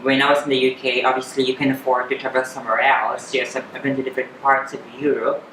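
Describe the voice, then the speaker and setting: A woman in her thirties with a Filipino accent, chatting candidly as an interview guest, often halting and repeating words. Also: a bass voice, little pitch movement. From Philippines. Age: 30s. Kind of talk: personal interview chat. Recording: echoey room with clear background noise.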